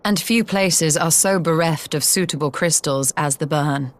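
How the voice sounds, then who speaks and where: An actress playing a measured, cautious scholar in a video game cutscene, speaking formally and precisely. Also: female voice, sits low. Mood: cautioning.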